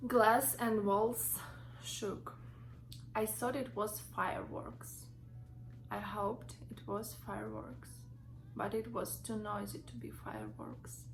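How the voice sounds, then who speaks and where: A woman's voice: mid-range voice, animated, a little hiss or hum, low-quality recording. Young Ukrainian woman reading her story. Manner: halting, with many fillers and restarts. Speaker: vlogger. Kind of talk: reading a personal story from notes.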